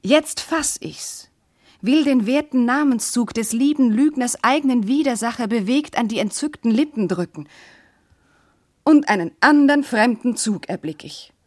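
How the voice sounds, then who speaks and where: A woman's voice: a little high, animated, a little slow, darkish tone, no room sound, clean studio sound. A woman playing a young, noble princess, anguished and bewildered, in solemn, fervent German classical verse radio drama. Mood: rapt, then horrified.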